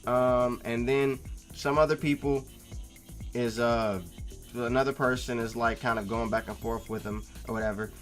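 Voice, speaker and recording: A man talking as a vlogger in a casual, opinionated reply to viewers, with a halting, rambling and emphatic delivery. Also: mid-range voice, steady tone, faint music behind. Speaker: YouTube vlogger.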